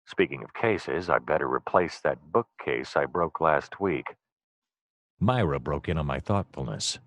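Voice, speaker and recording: An actor playing a wry, easily distracted private detective, narrating in a long-winded deadpan comic noir style. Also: male voice, extremely low pitch, unhurried, no room sound, heavily acted.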